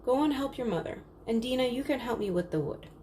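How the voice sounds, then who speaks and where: A woman's voice: on the high side, expressive, fast, mellow, voice pushed up, close to an everyday voice. A skeptical, firm village father from a children's tale, voiced by a woman narrator. He questions sternly, with only light characterisation. Slight background noise is present. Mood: stern, cross.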